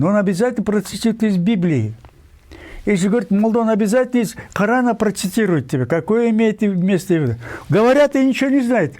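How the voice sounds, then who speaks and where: An older man from Kyrgyzstan, a writer giving an interview, talking insistently with many digressions, pauses and fillers. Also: sits high, mellow. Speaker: guest writer. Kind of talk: emphatic interview talk.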